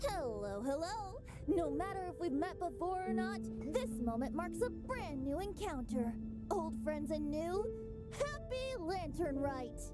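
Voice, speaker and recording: A woman's voice: very high-pitched, very animated, a little slow, full character voice. A woman voicing a whimsical, cheerful young male bard in a video game, theatrical and airy, over music. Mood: cheerful greeting. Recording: loud music behind the voice.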